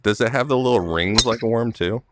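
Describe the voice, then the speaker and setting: A man's voice: medium pitch, a little fast, noisy background. A man hosting a casual golf game with friends, telling crude jokes and laughing often. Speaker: host and golf player. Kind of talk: casual gaming banter.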